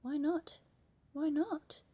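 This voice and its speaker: A woman voicing a small, curious and brave young female monster in a storybook read-aloud, high and exclaiming. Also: steady tone, slow, warm tone. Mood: eager.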